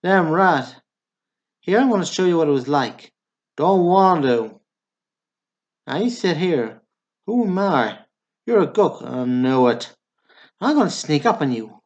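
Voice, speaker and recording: Man acting a slow-talking blunt Southern small-town guy. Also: fairly high pitch, very animated, highs cut off. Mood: needling. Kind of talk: stage comedy dialogue, radio drama acting.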